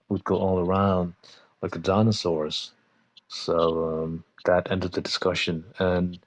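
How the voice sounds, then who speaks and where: Halting deep-voiced man from Manchester. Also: no echo, rough recording. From Manchester, England. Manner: halting, full of "um" pauses and restarts. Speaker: podcast panel guest. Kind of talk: casual chat.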